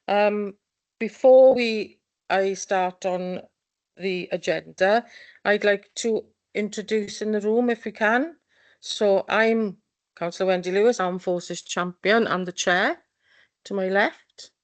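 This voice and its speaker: A woman chairing a formal council panel, moving briskly through the agenda items. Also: medium pitch, steady tone. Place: council meeting room.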